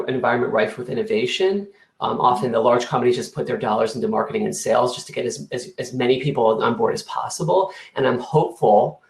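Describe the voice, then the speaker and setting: Earnest, gravelly man in an echoey room. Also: medium pitch, a little mellow. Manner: earnest, many fillers and restarts. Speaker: company co-founder, panelist. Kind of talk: online panel discussion.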